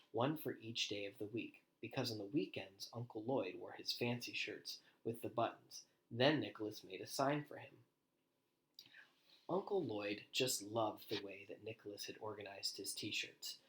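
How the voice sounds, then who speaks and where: A man reads a storybook aloud in an animated, deliberate way, as if for young children. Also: fairly low pitch, low-quality recording. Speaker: storybook reader. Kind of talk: expressive children's read-aloud with quieter asides.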